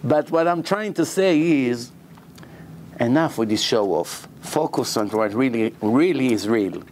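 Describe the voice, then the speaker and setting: A man with an Israeli accent, a rabbi giving a sermon in lively, broken English, acting out the people in his stories. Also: mid-range voice, measured pace, a little hiss or hum. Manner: animated, acts out quotes, non-native English phrasing. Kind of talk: storytelling sermon.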